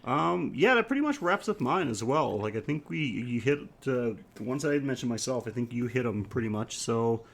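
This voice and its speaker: A man's voice: medium pitch, expressive, normal speed, gravelly, few pauses. A man co-hosting a podcast, chatting casually about film in an easygoing, agreeable way. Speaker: podcast co-host. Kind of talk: casual film review chat.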